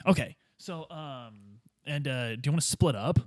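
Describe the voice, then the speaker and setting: A man's voice: on the high side, normal speed, no echo, studio quality. Casual, questioning male tabletop player. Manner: informal, questioning, thinking aloud. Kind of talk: casual game table chat.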